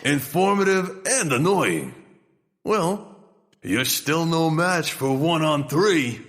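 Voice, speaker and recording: An actor playing a mocking, blustering comic gang thug in an audio drama. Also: male voice, fairly high pitch, big pitch swings, takes it slowly, fairly bright tone, exaggerated voice. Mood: mocking menace. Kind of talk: comedic audio drama acting. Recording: long hall reverb, somewhat distant.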